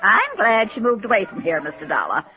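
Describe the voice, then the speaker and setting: A woman's voice: mid-range voice, wildly swooping pitch, a little fast, soft background music. Wildly swooping, gossipy landlady. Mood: disapproving. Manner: indignant, gossipy, emphatic. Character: talkative, disapproving, gossipy landlady. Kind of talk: 1950s radio drama acting. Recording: dull old radio recording.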